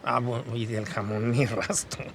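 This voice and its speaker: An actor playing a kindly, sentimental Mexican campus worker giving testimony in an audio drama, chatty and hesitant. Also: male voice, mid-range voice, fairly even intonation, normal speed, close to an everyday voice. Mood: warm, moved. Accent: Latin American Spanish, Mexican. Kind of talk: documentary-style testimony, scripted audio drama. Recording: voice over noticeable ambient noise.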